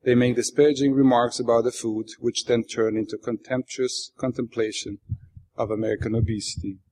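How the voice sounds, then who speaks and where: A man from Bosnia, a native Bosnian speaker with a Bosnian accent, reads literary prose aloud as its author in a wry, deadpan manner. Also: mid-range voice, a little fast, rough recording. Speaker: author reading his own work. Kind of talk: literary reading aloud to an audience.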